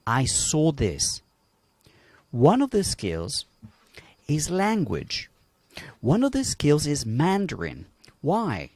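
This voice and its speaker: A man's voice: mid-range voice, a little mellow, dry recording. A man from Colombia speaking emphatically on a livestream panel, asking rhetorical questions. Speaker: panel guest.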